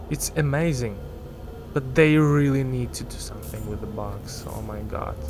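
A man's voice: mid-range voice, background noise. A man whose first language is Ukrainian, rambling through casual gameplay commentary as a gaming YouTuber, often correcting himself.

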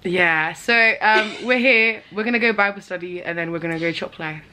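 A woman in her twenties vlogging casually to viewers, chatty and quick to laugh. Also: medium pitch. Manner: chatty, laughs often, addresses viewers directly. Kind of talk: casual vlog chat with a friend. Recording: busy crowd and loud background noise.

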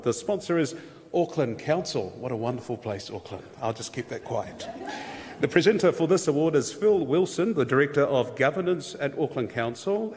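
A man's voice: medium pitch, darkish tone. A man hosting an awards ceremony, reading out winners and making playful jokey asides. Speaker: master of ceremonies. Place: large hall at an awards ceremony. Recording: reverberant hall with crowd and background noise.

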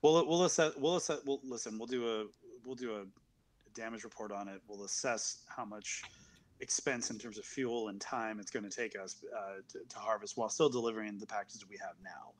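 A man playing a tired, officious spaceship captain in his thirties in an online roleplay, speaking in halting procedural language. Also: lowish voice, natural voice. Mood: firm, procedural. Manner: halting, procedural, bureaucratic delivery. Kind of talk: improvised online tabletop roleplay.

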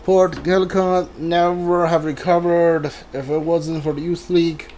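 A man's voice: a little high, little pitch movement, a little slow, darkish tone, slight background noise. A man giving casual video game playthrough commentary, mumbling his thoughts aloud as he plays. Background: game music.

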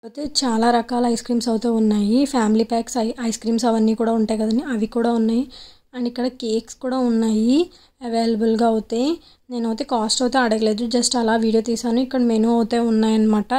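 Fast-chatting woman vlogger. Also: on the high side, level tone, mellow. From Andhra Pradesh, India. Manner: chatty, filler-heavy storytelling. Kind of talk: casual voice-over vlog narration.

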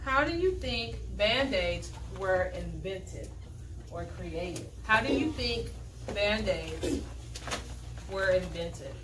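A woman's voice: medium pitch, expressive, slow. A young woman teaching in a dry, instructive manner, leading a classroom read-aloud with questions and frequent check-ins. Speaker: primary school teacher. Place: school classroom, indoors. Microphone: camera a few metres away. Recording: echoey classroom, distant mic, background noise.

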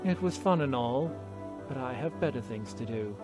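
A man playing a young father in dramatic animated voice acting, with music under the voice. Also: medium pitch, moderate pace, natural voice. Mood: cold. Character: proud, affectionate young father, a stallion.

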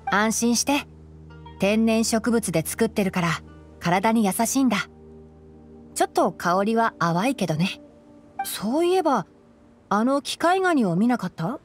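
A woman playing a calm, knowledgeable young male forest ranger in an anime game, explaining things in a composed, gently teasing way. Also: on the high side, measured pace, long pauses, soft background music. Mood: kind, attentive. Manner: explanatory, composed, lightly dry. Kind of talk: Japanese anime game voice acting.

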